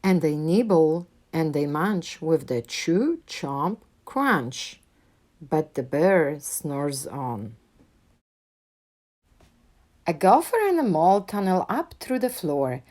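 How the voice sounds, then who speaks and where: A woman's voice: deep voice, sing-song, takes it slowly, darkish tone. A woman reading a children's picture book aloud as a storyteller, with dramatic, rhythmic delivery.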